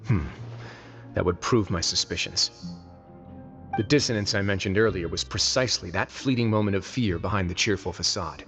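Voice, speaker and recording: Game role: authoritative warden duke, lower register, calm measured delivery with dry wit, over music. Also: male voice. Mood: thoughtful. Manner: measured, drily teasing, self-assured. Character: composed, wry, authoritative male duke and prison warden. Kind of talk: video game character voice acting.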